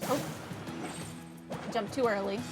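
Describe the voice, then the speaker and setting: A woman's voice: mid-range voice, fairly even intonation, slow pace. A woman gamer giving casual playthrough commentary with dry, offhand reactions. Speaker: gaming streamer. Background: video game music.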